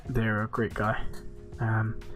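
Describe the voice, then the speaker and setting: A man's voice: lowish voice, takes it slowly, soft background music. Nasal, stuffy-nosed male streamer. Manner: rambling, relaxed, answers chat questions. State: stuffy, blocked nose. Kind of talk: casual gaming livestream chat.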